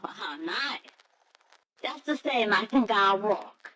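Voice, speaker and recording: An actress playing a gentle, demure young wife wistfully recalling her courtship in an old-time radio drama. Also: mid-range voice, animated, takes it slowly, a little hiss or hum. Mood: guarded, wry.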